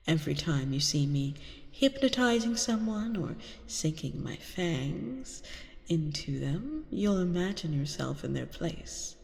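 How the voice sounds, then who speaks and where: A woman playing a seductive, manipulative vampire queen who poses as a therapist in an ASMR roleplay, coaxing and breathy, often slipping into whispers. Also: lowish voice, darkish tone, heavily acted. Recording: long reverberant echo on voice.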